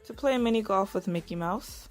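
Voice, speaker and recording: A woman's voice: mid-range voice, moderate pace, lightly acted. An actress playing a young married woman in an audio drama, narrating and talking in a wry, conversational way. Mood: playful. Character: Iris, weary young married woman narrating her own story. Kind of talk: first-person audio drama narration and dialogue.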